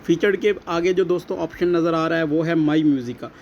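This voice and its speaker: Higher-voiced Pakistani man giving a tutorial. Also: quick pace, darkish tone. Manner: repetitive explaining, addresses viewers as friends. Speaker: tutorial host. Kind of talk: step-by-step app tutorial narration. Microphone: voice-over recorded alongside phone screen recording. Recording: dull lo-fi audio, faint background noise.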